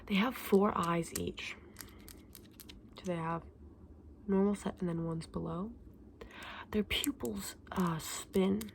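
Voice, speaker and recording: A woman playing a kindly, chatty fantasy saleswoman in an ASMR roleplay, mixing breathy whispers with soft, gentle, unhurried speech. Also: mid-range voice, fairly even intonation, slight background noise. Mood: soft, informative. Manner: gentle, unhurried, hesitant, endearing customer address. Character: kindly, chatty saleswoman in a dragon egg shop.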